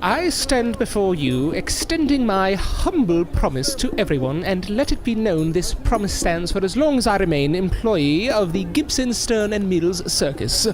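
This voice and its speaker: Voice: mid-range voice, very animated, normal speed, hardly any pauses, natural voice, poor audio. A performer playing a proud but polite circus newcomer in an audio drama, with an earnest, formal and sometimes hesitant manner.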